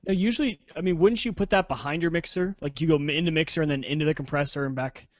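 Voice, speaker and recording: A man's voice: on the high side, fast talker, dry recording, muffled recording. An American man chatting casually about tech as a guest on a podcast. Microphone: podcast microphone, varying distance.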